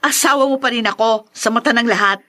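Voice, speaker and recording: High, sharp-voiced pleading young wife. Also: female voice, brisk. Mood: hurt, defensive. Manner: emotional, theatrical. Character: defensive, pleading young wife in radio drama. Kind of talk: Tagalog radio drama acting.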